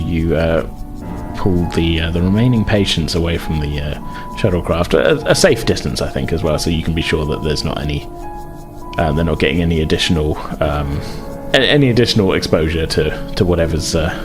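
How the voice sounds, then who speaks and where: A man's voice: low voice, normal speed. A man narrating an improvised tabletop game as game master, explaining rules and scenes in a tentative, meandering way. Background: music under the voice.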